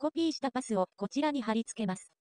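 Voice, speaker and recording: A woman reading scripted narration for a software tutorial. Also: on the high side, lively intonation, fast talker, no echo.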